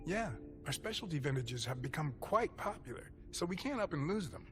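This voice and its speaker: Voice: medium pitch, very animated, a little fast, close to an everyday voice. An actor playing a curt, matter-of-fact vampire salon worker in a video game. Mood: businesslike, uneasy. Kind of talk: video game drama acting. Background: game music.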